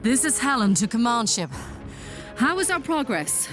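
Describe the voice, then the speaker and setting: A woman's voice: a little high, sing-song, moderate pace. A woman acts out an alien general in a sci-fi audio drama. She gives orders in a clipped, commanding way with dry sarcasm and little patience. Music plays faintly underneath. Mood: businesslike, confident. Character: commanding female alien general, imperious and impatient.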